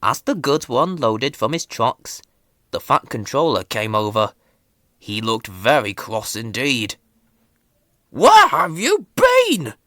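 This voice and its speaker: A woman's voice: extremely low pitch, unhurried, fairly bright tone, no echo, studio quality. A woman reading a children's story as a scripted narration. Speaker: storybook reader.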